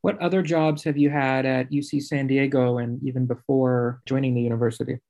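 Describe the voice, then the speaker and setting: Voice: mid-range voice, flat delivery, a little fast, creaky, darkish tone. A podcast host who asks short, friendly questions and follow-ups. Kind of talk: interview questions.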